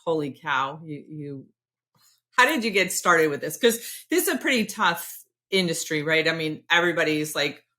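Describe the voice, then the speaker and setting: Low-voiced bright woman podcast host. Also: normal speed. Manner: excitable, rambling, self-deprecating. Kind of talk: casual interview chat.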